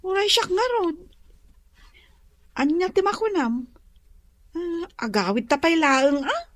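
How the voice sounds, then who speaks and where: A woman's voice: very high-pitched, expressive, slow pace, dry recording, exaggerated voice. A woman with an Ilocano accent playing a young, eager and respectful nursing student in a radio comedy drama. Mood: friendly.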